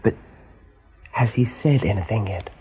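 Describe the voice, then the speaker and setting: A kindly, level-headed male rabbit in a radio drama. He has a lowish, very husky, breathy voice. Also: unhurried, dark tone. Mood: concerned. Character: steady, kindly male rabbit looking after his friends. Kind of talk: radio play acting.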